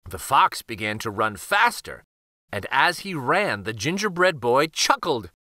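Brief: man narrating a kids' storybook, slow and clear, bright voice with a lot of melody. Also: medium pitch, no room sound, studio quality. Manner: expressive, deliberate storytelling. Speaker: children's book reader. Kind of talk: storybook read-aloud.

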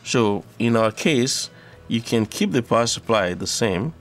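A man presenting an unscripted electronics tutorial, explaining step by step in a halting way. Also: mid-range voice, expressive. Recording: clearly audible background noise.

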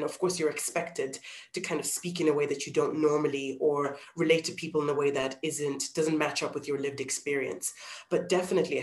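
A woman in her thirties from Zambia, an actor giving thoughtful interview answers over a video call. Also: sits low. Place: small plain-walled room indoors. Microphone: computer mic on video call. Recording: roomy, echoey video call.

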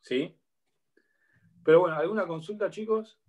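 Argentine guy in his 30s teaching a class over a webcam from home, slightly high voice, keeps asking "right?". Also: normal speed, darkish tone, lo-fi sound. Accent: Argentine Spanish. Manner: explanatory, checks in with a frequent "¿sí?". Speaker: law lecturer. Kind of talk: online university lecture. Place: home room with bookshelf. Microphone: laptop webcam on a video call.

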